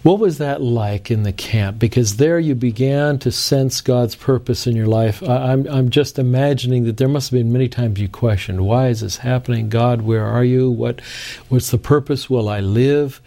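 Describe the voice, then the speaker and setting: A male interviewer with a mellow, warm voice asks his guest reflective questions about faith. His manner is gentle and encouraging. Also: medium pitch, moderate pace, creaky. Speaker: interview host. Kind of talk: religious interview.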